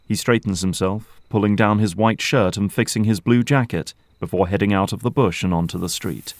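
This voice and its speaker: A man's voice: lowish voice, steady tone, moderate pace, dry recording. A man reading scripted narration as the narrator of an audio drama.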